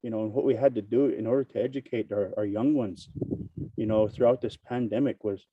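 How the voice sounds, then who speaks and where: Hoarse man with a raspy voice. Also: fairly low pitch, fairly even intonation, recorded from a distance, muffled recording, background noise. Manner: halting, many fillers and restarts. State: hoarse from a cold. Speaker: opening speaker. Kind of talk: spoken prayer and invocation.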